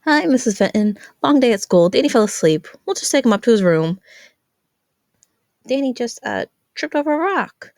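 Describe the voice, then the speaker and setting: A woman puts on a casual teenage kid covering for a friend in an audio story, breezy and evasive. The pitch is raised and the sound is dry and close. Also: sing-song, normal speed, darkish tone, no echo. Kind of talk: acted fan fiction reading.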